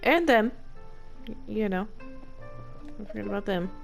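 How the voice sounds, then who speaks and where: A woman's voice: mid-range voice, lively intonation, measured pace, gravelly, music in the background, low-quality recording. A woman streamer giving casual, sarcastic gameplay commentary in a slangy, wry manner.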